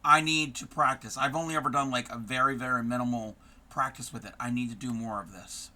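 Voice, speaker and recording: Hoarse man with a very bright voice. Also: medium pitch, fairly even intonation, a little fast. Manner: chatty, greets viewers by name, frequent ums. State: hoarse, losing his voice. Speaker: craft live-streamer host. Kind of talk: casual live-stream chat.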